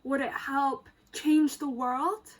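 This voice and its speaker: A woman teacher reading a picture book aloud to young children, expressive and emphatic, addressing them directly with questions. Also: sits high, slow.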